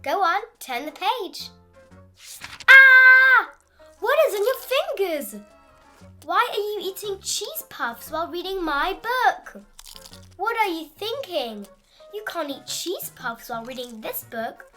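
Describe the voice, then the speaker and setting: A woman's voice: extremely high pitch, big pitch swings, takes it slowly, pushed and bright, soft background music, pitched above the performer's own voice, heavily acted. A woman voicing a fussy, dramatic, easily panicked male frog in a children's storybook, with theatrical, scolding delivery. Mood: proud, prim, then shocked, scolding. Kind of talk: animated children's storybook read-aloud.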